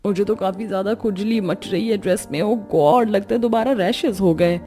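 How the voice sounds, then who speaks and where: A woman's voice: medium pitch, normal speed, dark tone, faint music behind, lightly acted. A woman voicing a discontented young daughter-in-law in a cartoon story, complaining in a plaintive way. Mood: distressed. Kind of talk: Hindi cartoon story dialogue.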